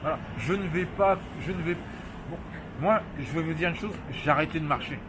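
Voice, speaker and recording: A man from France speaking in a street interview, impassioned and halting, often restarting his sentences. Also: on the high side, background noise.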